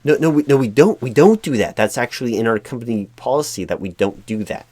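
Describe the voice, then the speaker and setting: A man playing a frustrated, flustered corporate recruiting manager in a one-sided roleplay, speaking in a halting, stammering, officious way. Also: mid-range voice, lively intonation, darkish tone, dry recording, lightly acted. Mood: exasperated. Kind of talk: one-sided ASMR roleplay, loud spoken.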